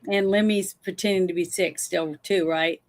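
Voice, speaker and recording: A woman's voice: on the low side, fairly even intonation, normal speed. A woman playing a tabletop game, talking casually through what her character wants to do.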